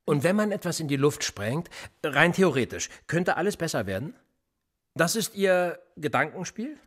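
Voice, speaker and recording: Husky, probing police interrogator. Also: male voice, fairly high pitch, very animated, moderate pace. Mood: sceptical, needling. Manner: pressing, needling, rhetorical questioning. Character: probing, sardonic male police interrogator, middle-aged, world-weary. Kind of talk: German radio play acting.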